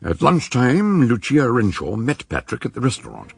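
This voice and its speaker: A man's voice: medium pitch, huge pitch swings, normal speed, creaky, breathy edge, dry recording, exaggerated voice. A man giving scripted narration for a radio drama.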